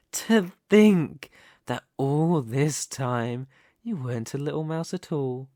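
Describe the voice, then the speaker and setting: A man playing a smug, menacing, possessive mafia boss, speaking in a taunting, silky, deliberate way in a dramatic ASMR roleplay. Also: a little high, lively intonation, mellow, no echo, clean studio sound. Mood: intrigued, teasing.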